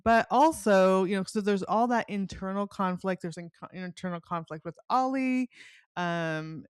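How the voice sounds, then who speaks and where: A woman's voice: medium pitch, unhurried, clean tone, dry recording, studio quality. A middle-aged woman hosting a casual book interview, enthusiastic and chatty, often interrupting her own sentences as she rambles. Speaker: podcast host.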